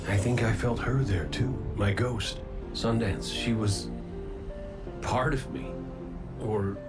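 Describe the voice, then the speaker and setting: An actor playing a wry, easygoing resurrected robot gunslinger in a video game cutscene, talking in a folksy, colloquial way. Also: male voice, fairly low pitch. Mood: wistful, reflective. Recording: music behind the voice.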